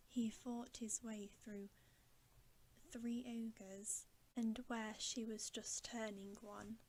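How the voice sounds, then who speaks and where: A woman reading a bedtime story in ASMR style, soft, breathy and often whispering, in a hushed, soothing manner. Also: fairly high pitch, a little hiss or hum, poor audio. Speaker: ASMR host reading aloud.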